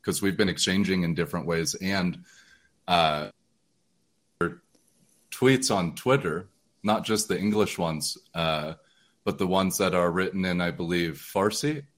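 A man's voice: deep voice, unhurried. An American man hosting a podcast interview, introducing his guest in a loose, rambling way with many fillers and restarts. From United States. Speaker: podcast host.

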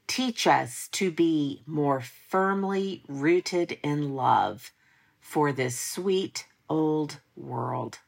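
Female prayer leader, low gravelly register, solemn and deliberate delivery.

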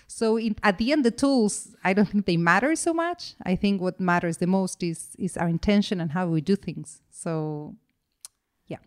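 A woman's voice: mid-range voice, moderate pace. A woman who is a podcast guest, speaking earnestly and often restarting, with the phrase "to me" coming back again and again. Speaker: interview guest. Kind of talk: earnest conversational interview.

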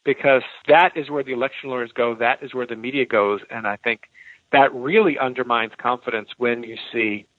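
A man's voice: medium pitch, fairly even intonation, a little fast, dry recording, narrow, tinny audio. An American man speaking as an expert guest, explaining his points in answer to interview questions. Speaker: expert guest, law professor and author.

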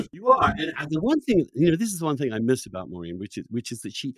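English man, halting, digressive podcast interviewer. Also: mid-range voice, wildly swooping pitch, no echo, clean studio sound. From England. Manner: halting, repeats words and restarts often, digressive. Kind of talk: conversational interview.

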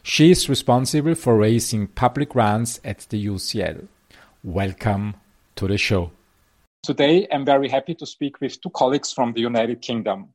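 A man hosting a podcast who reads a formal introduction and then asks interview questions in a measured way. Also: medium pitch, lively intonation, a little mellow. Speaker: podcast host.

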